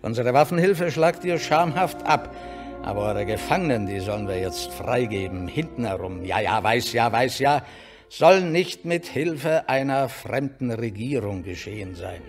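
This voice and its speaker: A man's voice: mid-range voice, lively intonation, measured pace. Over opera music comes a blustering Prussian statesman, his voice swinging widely. He barks brusque, clipped orders, breaks off into asides and stays bossy and jovially cynical, in radio drama style. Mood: mocking, jovial. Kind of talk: German radio drama acting. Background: opera performance.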